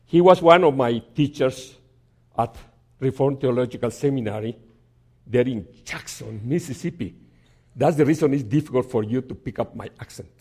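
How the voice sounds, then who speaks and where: A man giving a sermon as a guest preacher in a church, telling a story in a halting way with many restarts and some gentle humor. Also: mid-range voice, slightly rough.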